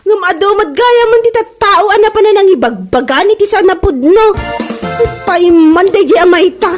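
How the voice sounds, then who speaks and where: A woman playing an aggrieved, quick-tempered seller in a comedy drama, with an Ilocano accent. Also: extremely high pitch, expressive, soft background music. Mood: resentful.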